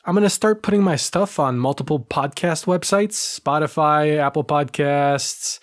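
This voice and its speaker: A man narrating a first-person horror story with measured, slow phrasing, who also hosts the channel. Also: a little high. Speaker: audio drama creator and narrator.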